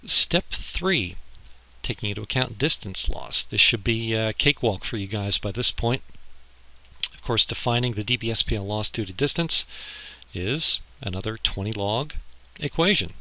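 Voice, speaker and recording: A man's voice: on the low side, sharp tone, poor audio. A man giving an informal, step-by-step lecture as an instructor, explaining technical material over slides. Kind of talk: slide lecture on audio engineering. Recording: harsh amateur recording with clicking.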